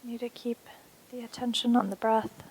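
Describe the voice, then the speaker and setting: A woman instructor leading a guided meditation in a soothing, unhurried way with pauses. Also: on the high side, steady tone, a little mellow, breathy edge.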